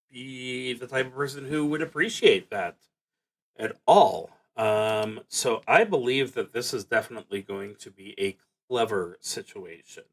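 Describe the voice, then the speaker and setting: A man streaming a solo tabletop roleplaying game, musing aloud in a halting way and reading out prompts as he plays. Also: lowish voice, rough recording. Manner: halting, musing, pauses mid-sentence.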